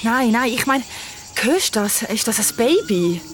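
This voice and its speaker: A woman's voice: on the high side, big pitch swings, a little slow, noisy background. An actress playing a feisty, alarmed young woman trapped in a thriller radio drama, blunt and agitated. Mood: uneasy, insistent.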